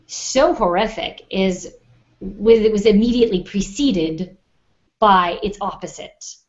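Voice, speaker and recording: A woman's voice: medium pitch, unhurried, highs cut off. A woman co-hosting a podcast, explaining things earnestly in a casual panel discussion. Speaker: podcast co-host.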